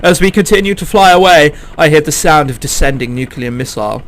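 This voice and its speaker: A bright, high-voiced man plays through a visual novel on his channel. He reads the story with animated swings and drops in exclamatory, sweary jokes. Faint music plays behind him. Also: fairly quick. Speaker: let's player reading a visual novel. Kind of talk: dramatic read-aloud with joking commentary.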